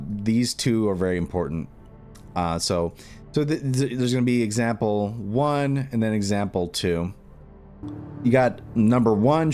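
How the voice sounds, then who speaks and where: A man explaining steps in a casual coding tutorial, haltingly thinking aloud as he goes. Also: fairly low pitch, a little mellow. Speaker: tutorial host.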